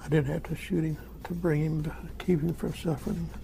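An elderly American war veteran recounting his memories in a folksy, halting, repetitive way. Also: a little high, flat delivery, creaky, noisy background. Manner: folksy, halting, repeats himself. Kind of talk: spoken first-person war reminiscence.

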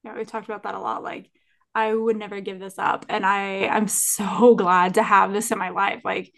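A woman's voice: mid-range voice, little pitch movement, normal speed, vocal fry. A woman co-hosting a podcast, chatting casually and enthusiastically. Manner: enthusiastic, upbeat. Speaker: podcast co-host.

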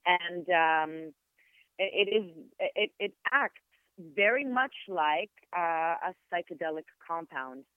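A woman with an Israeli accent, whose first language is Hebrew, speaks as a podcast guest in a smooth, even voice. She explains things in a measured, searching way, often pausing and restarting. The sound is thin and phone-like, with a dry, close feel. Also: on the low side, dry recording. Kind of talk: reflective explanatory interview talk. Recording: tinny phone-like line, dry.

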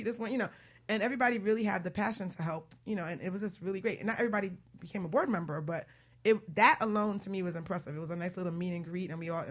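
A woman hosting a radio talk show in a casual, chatty, informal way with animated banter. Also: mid-range voice.

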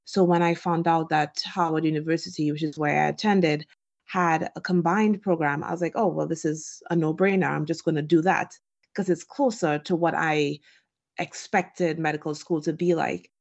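A woman from Trinidad and Tobago answers as a podcast guest. Her voice is fairly low with plenty of vocal fry, and she talks informally and reflectively, posing questions and answering them. The call audio is dull and lo-fi. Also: steady tone, moderate pace. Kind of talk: conversational interview answers.

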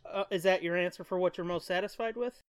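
A man's voice: high voice, fairly even intonation, fast, smooth, no room sound, clean studio sound. A man co-hosting a podcast in casual banter, joking and crude with plenty of swearing. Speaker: podcast co-host.

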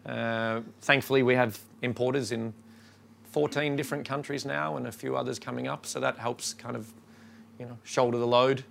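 Gravelly Australian brewer talking to a crowd. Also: male voice, medium pitch, slight background noise. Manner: relaxed and halting, lots of ums. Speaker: brewery co-owner. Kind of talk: casual talk to a shop crowd.

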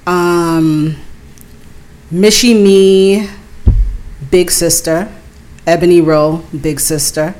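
A woman DJ answering interview questions casually, relaxed and hesitant, often pausing on fillers. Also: lowish voice. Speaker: DJ guest.

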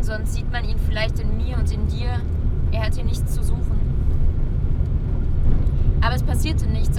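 A woman reading literary prose aloud in a measured, rhythmic, poetic way. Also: on the high side. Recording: loud traffic noise outdoors.

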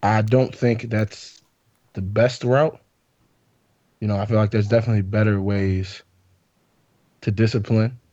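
A man's voice: fairly low pitch, steady tone, measured pace, long pauses, warm tone, no room sound, highs cut off. A man co-hosting a podcast, talking in easygoing, reflective casual chat. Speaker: podcast co-host.

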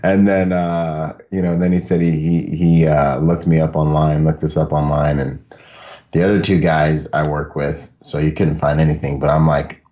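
A laid-back man from the Bahamas talks with a very deep voice, telling loose stories as a podcast guest. He laughs easily and keeps it casual. The audio is dull and lo-fi. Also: dark tone. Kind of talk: casual conversational storytelling.

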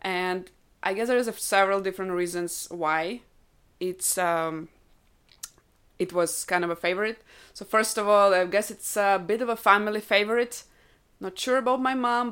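A woman's voice: mid-range voice, fairly even intonation, moderate pace, a bit bright, clear, resonant tone. A woman in her thirties from Ukraine, a native Russian speaker, chatting as a podcast guest and explaining things thoughtfully with many fillers. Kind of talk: casual chat.

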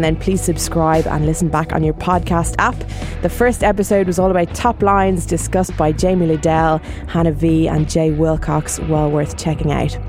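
A woman's voice: low-pitched, a little mellow. A woman hosting a music podcast, reading an upbeat scripted introduction. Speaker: podcast host.

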